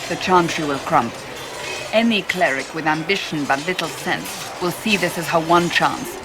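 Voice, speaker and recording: A woman's voice: low-pitched, close to an everyday voice. An actress playing a stern, devout rebel warrior woman in a video game, speaking formally and earnestly. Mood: sober explaining. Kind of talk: dramatic video game dialogue.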